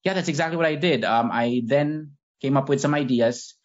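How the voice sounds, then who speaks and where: A man's voice: medium pitch, brisk. A man telling how an idea got started, in a loose, upbeat way full of fillers. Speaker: co-guest. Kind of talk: casual interview. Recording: muffled lo-fi audio.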